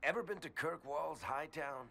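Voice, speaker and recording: A man voicing a wry, joking rogue companion with a gravelly voice in a fantasy video game. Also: a little high, normal speed. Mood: amused, teasing. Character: wisecracking male rogue companion, wry and casual. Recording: loud game ambience behind voice.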